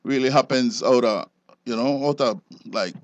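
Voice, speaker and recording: A man with a Belizean accent giving an informal interview as an enforcement officer, explaining things haltingly and repeating words. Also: mid-range voice, dry recording.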